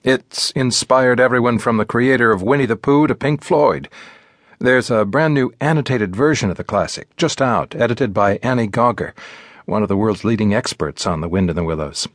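A man's voice: mid-range voice, normal speed, no echo, studio quality. A man with an American accent hosting a radio program, reading scripted narration. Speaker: radio show host. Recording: music bed behind the voice. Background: instrumental music.

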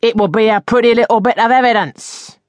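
A woman's voice: on the high side, fairly even intonation, brisk, no echo, pitched above the performer's own voice, natural voice. A woman voicing a menacing, scornful landlady with a taunting, threatening manner. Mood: smug menace.

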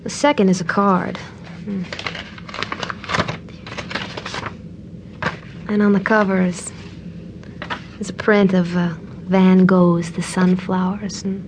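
An actress playing a guarded, flustered woman in an audio drama, talking in a halting, conversational way. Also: lowish voice, level tone, noisy background, lightly acted. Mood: hesitant, puzzled. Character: guarded, flustered woman reading a suitor's letters aloud.

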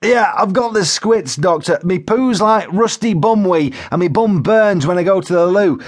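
High, anguished, pleading male patient. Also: very animated, normal speed, heavily acted. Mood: blunt, uncomfortable. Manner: shouting, pleading, groaning, coarse and blunt. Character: distressed, embarrassingly frank working-class patient on the phone.

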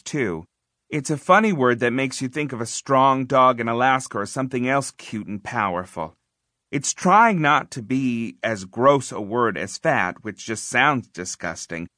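A man narrates a children's novel in the first person with a bright, forward voice. His delivery is conversational and confiding, leaning hard on key words. The recording is close and very dry. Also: mid-range voice, no room sound. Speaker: audiobook narrator.

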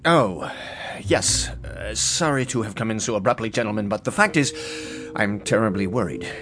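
An actor playing a nervous, agitated clubman in fear, speaking haltingly in an audiobook drama. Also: fairly low pitch, lively intonation, over music, lightly acted. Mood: frightened. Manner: nervous, halting, jerky sentences. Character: frightened, agitated society clubman under threat. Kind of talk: full-cast audiobook acting.

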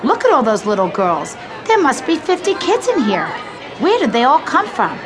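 A woman's voice: high-pitched, huge pitch swings, normal speed, over music, crowd noise. An actress playing a pushy, gushing pageant mother who talks to her young daughter with breathless, exaggerated emphasis in an audio drama. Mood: excited, encouraging.

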